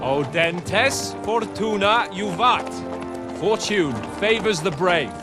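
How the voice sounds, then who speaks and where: A cocky, animated young nobleman. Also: high-pitched, moderate pace, music in the background. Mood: eager, teasing. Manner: haughty, playful, theatrical exclamations. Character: cocky young nobleman, adventure-hungry, flippant, swearing. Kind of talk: video game cutscene acting.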